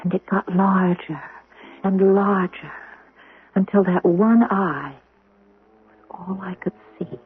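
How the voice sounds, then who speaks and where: An actress playing a gentle, humble young model in a radio drama, hesitant and wistful. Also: female voice, medium pitch, fairly even intonation. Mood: dreamy, entranced. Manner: hesitant, apologetic, breathy pauses. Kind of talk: radio drama acting and first-person narration. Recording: noisy, narrow-band old radio recording.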